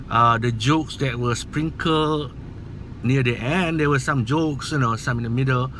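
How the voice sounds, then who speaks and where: A man's voice: medium pitch, pushed and bright, slight background noise. A man with a Malaysian accent, whose first language is Malay, giving his opinion in a casual movie vlog in an earnest, rambling, hesitant way. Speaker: movie vlogger.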